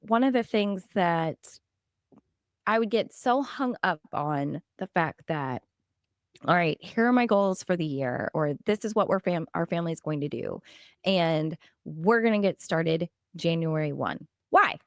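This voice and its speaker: Podcast co-host, woman, late thirties, hoarse and gravelly, dry close sound. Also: lowish voice, animated, a little slow, dry recording. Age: 30s. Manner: laughs often, playful storytelling. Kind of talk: casual chat.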